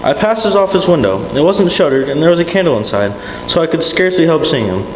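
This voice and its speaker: A man's voice: fairly high pitch, very animated, quick pace. A man playing a chatty, conversational husband sharing news in a live radio play. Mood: gossipy. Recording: echoey hall, background noise.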